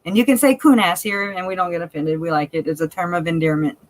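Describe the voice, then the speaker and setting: A woman's voice: lowish voice, brisk, slight background noise, rough recording. A woman with a country-sounding Cajun accent, co-hosting a casual live chat, talking candidly and laughing often. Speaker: livestream co-host.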